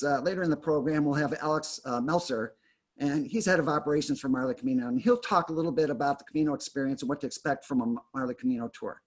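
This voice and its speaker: Guy hosting a zoom talk, kinda higher voice, lots of uhs. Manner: rambling, many fillers and restarts. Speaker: host. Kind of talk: introducing guests on a video call. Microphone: video call.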